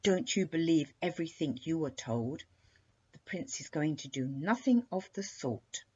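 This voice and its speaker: A woman's voice: low-pitched, animated, measured pace, darkish tone, breathy edge, highs cut off, full character voice. A woman voicing a polite, fearless little princess in a children's story, with a courteous, knowing manner. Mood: matter-of-fact, knowing.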